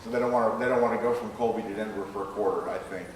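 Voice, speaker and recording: A man speaking as a screenwriter in a live question-and-answer session, with a halting, hesitant delivery. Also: fairly low pitch, fairly even intonation. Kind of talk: live Q&A after a staged reading. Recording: echoey room, distant mic, faint noise.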